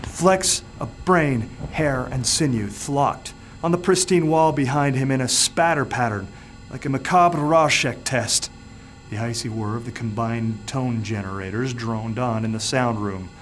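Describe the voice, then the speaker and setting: Male author-narrator, mid-pitched, measured and suspenseful, for a dark short story. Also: faint music behind. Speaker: author reading his own story. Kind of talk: dramatic audiobook narration.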